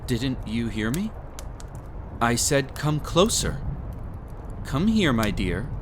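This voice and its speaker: Man acting a smug, possessive prince, slow and swooping. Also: medium pitch, very animated, background noise. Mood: alarmed, commanding. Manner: silky, condescending, theatrical, with breathy chuckles. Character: possessive, smug young prince with hypnotic magic. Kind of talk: scripted romantic audio roleplay.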